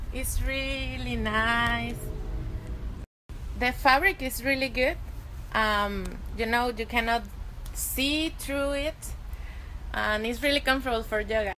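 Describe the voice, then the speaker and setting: A young woman with a Venezuelan Spanish accent leads a yoga class in a light, high voice. She talks in a friendly, halting way, pausing on fillers. She speaks into a phone held at arm's length outdoors, with faint outdoor sound behind her. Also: fairly bright tone, slight background noise, low-quality recording. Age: young adult. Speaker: yoga teacher. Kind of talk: casual selfie-video yoga instruction. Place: outdoors by a backyard pool.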